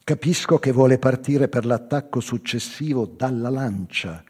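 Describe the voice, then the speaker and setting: An older man giving a slow, measured, theatrical reading of a literary text, with a husky, dark voice. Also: mid-range voice. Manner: slow, measured, theatrical delivery with swelling and hushed phrases. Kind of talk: dramatic literary narration with live music. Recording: long hall reverb, faint music. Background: live music.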